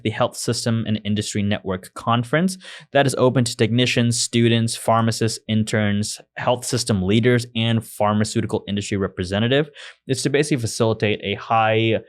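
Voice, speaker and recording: A man's voice: medium pitch, fairly even intonation, a little fast. A man in his twenties from Malaysia, a guest co-host chatting casually and giving updates on a podcast. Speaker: pharmacy fellow, guest co-host. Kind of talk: casual podcast chat with announcements.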